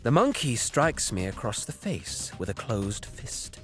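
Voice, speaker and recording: A man's voice: medium pitch, big pitch swings, measured pace, fairly bright tone, soft background music. An actor playing a cruel, haughty alien raider prince who narrates his deeds with cold disdain in an audio drama. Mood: coldly savouring. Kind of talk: first-person dramatic narration.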